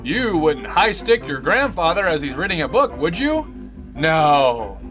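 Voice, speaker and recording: A man's voice: fairly high pitch, very animated, unhurried, fairly bright tone. A man playing a prim, mock-polite narrator of an old-time instructional film parody, scolding in a sing-song way. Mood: prim, admonishing. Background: music.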